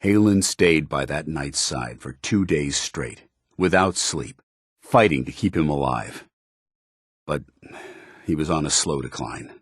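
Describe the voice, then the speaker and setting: An American man voicing a stern, earnest, duty-bound military commander in a video game, speaking in formal, measured phrases. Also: a bass voice, animated, husky, dry recording, studio quality, exaggerated voice. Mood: somber, confiding. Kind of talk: video game companion dialogue.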